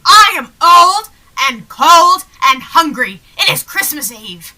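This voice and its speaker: A teenage actress playing a poor, stubborn old woman in a high school radio play, declaiming insistently and theatrically. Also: sing-song, takes it slowly, bright, forceful tone, heavily acted. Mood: indignant.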